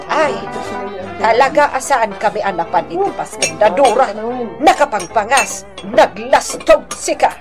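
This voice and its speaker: Resentful gossip neighbour, Filipino accent, very high voice, soft music behind, radio drama. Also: female voice, animated, measured pace. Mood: indignant. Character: nosy, resentful neighbour woman, sharp-tongued.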